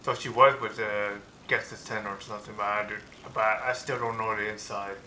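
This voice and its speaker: A man's voice: on the low side, steady tone, normal speed, pushed and bright, a little hiss or hum. Man muttering offhand stream asides. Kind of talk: casual live commentary over a game. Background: game music.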